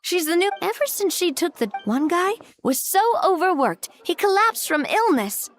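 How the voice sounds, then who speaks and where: An actress playing a poised, friendly and wry young woman in a video game, talking conversationally like an expressive storyteller. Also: very high-pitched, normal speed, soft background music. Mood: earnest, apologetic.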